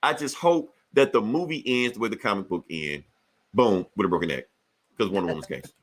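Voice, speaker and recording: A man hosting a casual pop-culture podcast, chatting in a profane, joking, animated way. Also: fairly low pitch, fairly quick. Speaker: podcast host.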